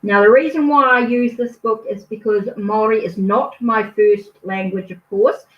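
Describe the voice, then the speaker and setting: A woman's voice: mid-range voice, moderate pace. A woman from New Zealand with a New Zealand accent, giving a tarot card reading to a viewer in a reassuring way and often ending phrases with a questioning "okay?". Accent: New Zealand English. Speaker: tarot reader. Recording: echoey, distant, dull lo-fi sound.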